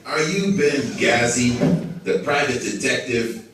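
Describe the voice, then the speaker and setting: A self-important religious official puppet, acted by a man, formal and evasive, with a mid-pitched voice. The sound is very echoey and picked up from far off in a room. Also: expressive, takes it slowly, background noise. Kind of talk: scripted puppet show dialogue. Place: indoor hall, behind a puppet stage. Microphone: far camera in the audience. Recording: echoey room, distant audience camera.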